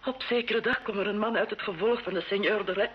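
An actress playing a poor, grieving peasant mother in a radio play, meek and halting. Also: medium pitch, natural voice. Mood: grieving, humble. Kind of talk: Dutch-language radio play acting. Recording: dull, lo-fi old radio recording.

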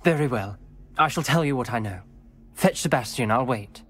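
A man's voice: medium pitch, expressive, moderate pace, close to an everyday voice. A man playing a troubled, principled teenage schoolboy wizard in a video game, speaking with a British accent in a formal, earnest way. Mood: reluctant. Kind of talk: dramatic video game voice acting.

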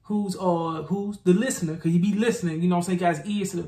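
A man's voice: high voice, fairly even intonation, quick pace, dark tone, echoey room. A young man with a Southern US accent chatting casually and slangily, playfully repeating himself as he co-hosts a reaction video. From southern United States. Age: young adult. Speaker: co-host of a reaction channel. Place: small indoor room with plain wall.

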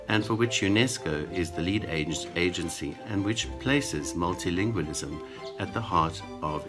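A middle-aged man giving a measured, formal introduction to a school video, filmed at home on a webcam. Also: low-pitched. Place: home room indoors.

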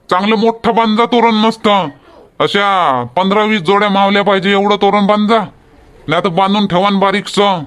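A man acts out a folksy village man from a homemade comedy cartoon. His voice is pitched high for a man. He talks in chatty, colloquial banter with a Varhadi Marathi accent. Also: natural voice.

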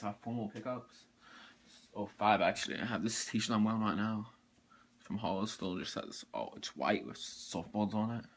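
A teenage boy casually showing off his mall clothing and sneaker haul in his bedroom, talking in a halting, rambling way with lots of fillers and restarts. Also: fairly low pitch, slight background noise. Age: teens. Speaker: sneaker vlogger. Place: bedroom, indoors. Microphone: camera or phone mic up close.

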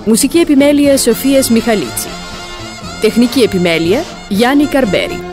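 A woman radio announcer reading scripted credits in a formal, measured manner. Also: on the high side, expressive. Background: loud instrumental music.

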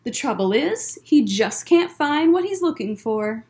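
A woman's voice: high voice, very animated, a little slow, darkish tone. A young adult woman hosting a children's story time, explaining things simply as she chats and reads aloud. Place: library room with bookshelves, indoors. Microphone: webcam or laptop at desk distance.

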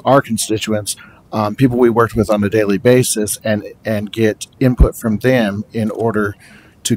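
Male teacher presenting at a school board meeting; lower voice, steady and explanatory, faint background rumble. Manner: steady and explanatory, with frequent fillers. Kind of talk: formal presentation.

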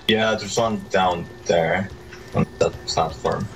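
A man's voice: low-pitched, steady tone, slow pace, vocal fry, far from the mic, over music. A man casually chatting with a friend over voice chat while they play together. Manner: easygoing, slangy banter. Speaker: co-op gaming partner.

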